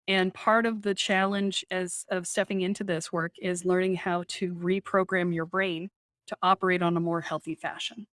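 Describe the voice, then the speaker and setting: A woman guest on a podcast interview, a spiritual coach who talks in an animated, emphatic way, often tags "right?" and laughs easily. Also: on the low side, normal speed, dry recording, studio quality.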